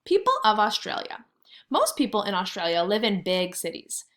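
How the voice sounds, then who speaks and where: A woman's voice: medium pitch, very animated, normal speed, fairly bright tone. A woman kindergarten teacher leading a read-aloud lesson, speaking in simple words and putting questions to her young pupils.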